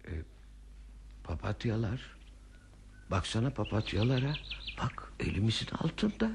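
An actor playing a genial, dreamy elderly man in an unhurried, savouring radio theatre performance. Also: lowish voice, very animated, gravelly, full character voice. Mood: contented, gentle. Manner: savouring, unhurried, breaks into singing. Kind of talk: Turkish radio theatre acting. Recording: noisy old radio drama recording.

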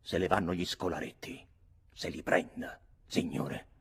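Man acting a haughty, sarcastic army captain, husky. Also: deep voice, measured pace, a bit bright, exaggerated voice. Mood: exasperated. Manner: sarcastic, ceremonious.

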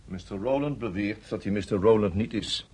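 Radio play: formal secret service officer, controlled and measured, with a slightly deep, breathy-rough voice. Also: male voice, a little mellow. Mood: businesslike, deferential. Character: cool, menacing male security service interrogator. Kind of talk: radio drama acting.

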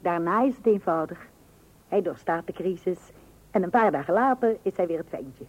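A woman's voice: on the low side, lively intonation, moderate pace, slightly rough. An actress playing a chatty, coaxing housewife and mother in an old radio comedy. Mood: wry narrating. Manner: eager, wheedling, hesitant interjections. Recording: dull, muffled old radio recording.

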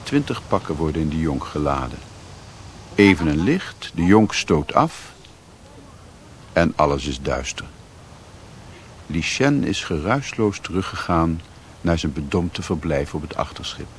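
A reflective, yearning storyteller, with a deep voice. He narrates a literary sea tale as radio drama. There is light noise on the old recording. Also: big pitch swings, measured pace, pauses often, heavily acted. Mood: measured narration.

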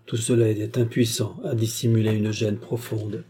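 A man narrating a literary novel as an audiobook, reading in measured phrases. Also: fairly low pitch, level tone, warm tone, slightly rough.

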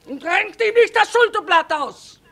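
Tough middle-aged trader mom in a radio drama, blunt haggler, earthy. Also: female voice, extremely high pitch, animated, a little slow, fairly bright tone, no room sound, full character voice. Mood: blunt, bargaining. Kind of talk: German radio play acting.

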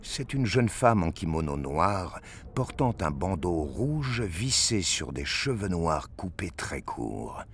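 Very deep male narrator, slow and dramatic. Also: big pitch swings, slight background noise. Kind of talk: scripted science-fiction audio narration.

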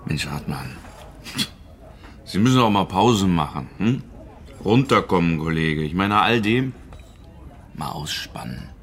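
A man playing a smug, evasive state-security policeman in a radio crime drama, measured and condescending. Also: deep voice, animated. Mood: patronising. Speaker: actor playing a police officer.

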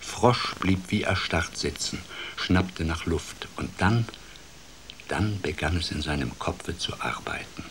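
Playful old grandpa telling a fairy tale, slow and deep, radio play style. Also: male voice, slight background noise. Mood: dramatic storytelling. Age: older. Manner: expressive, dramatic, shifts between hushed and lively. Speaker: storyteller.

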